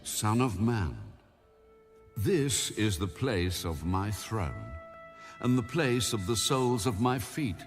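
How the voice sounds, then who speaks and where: The majestic voice of God in an audio Bible. He declares each phrase very slowly with wide swings of pitch and great authority. Music plays behind. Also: big pitch swings, heavily acted. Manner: slow, weighty declarations. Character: majestic, authoritative God speaking from his temple. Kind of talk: dramatized audio Bible reading.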